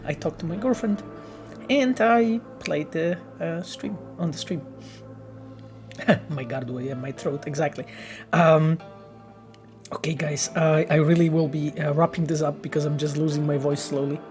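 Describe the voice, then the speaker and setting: Halting, earnest male game developer. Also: fairly high pitch, fairly even intonation, music in the background. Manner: earnest, halting explanations with many restarts. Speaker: game developer streaming and answering chat. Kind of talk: casual livestream Q&A.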